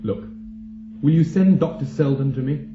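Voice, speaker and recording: A British actor playing an earnest, anxious young mathematician who is quick to indignation, in a radio drama. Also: male voice, a little high, warm tone, muffled recording, a little hiss or hum, close to an everyday voice. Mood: indignant. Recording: roomy, echoing radio drama recording.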